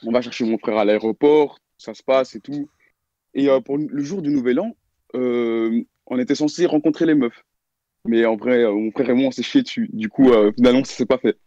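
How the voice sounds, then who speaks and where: A man's voice: mid-range voice, brisk, dark tone, no room sound. An eighteen-year-old man from Belgium telling a personal story in a casual, slangy way. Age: teens. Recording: dull dry mic.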